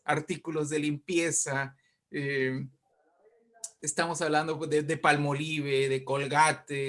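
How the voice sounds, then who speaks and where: A man with a fairly high voice tells history as an interview guest. He speaks conversationally and with animation, turning often to his listener with little questions. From Mexico. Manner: animated storytelling with frequent check-in questions. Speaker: interview guest, writer and poet.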